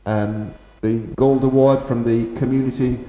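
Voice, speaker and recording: A man's voice: mid-range voice, fairly even intonation, measured pace, mellow. A man presenting live community radio in a chatty, jokey way. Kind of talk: live radio interview and fundraising appeal. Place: radio studio stage in an indoor market. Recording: echoey, roomy live stage sound.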